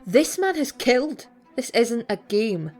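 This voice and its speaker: Woman playing high, stern, protective guardian. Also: expressive, a little slow, faint music behind. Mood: stern, alarmed. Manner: forceful, scolding. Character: stern, protective adult woman scolding reckless youngsters. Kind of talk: audio drama acting.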